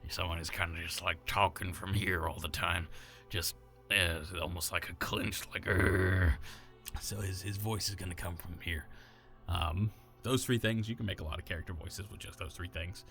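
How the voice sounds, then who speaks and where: A very low-pitched man from the American South, speaking with a neutral accent and a natural gravel. He explains a voice build in a loose, rambling way, with plenty of fillers and restarts. Faint music sits underneath. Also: steady tone, moderate pace, sharp tone. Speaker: voice actor. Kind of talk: explaining how he builds a character voice.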